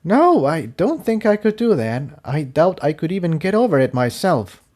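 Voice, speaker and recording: A man's voice: a little high, big pitch swings, moderate pace, a little mellow, pitched above the performer's own voice. A man voicing a subdued, practical female winged pony with a plain-spoken manner in a story reading. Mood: doubtful.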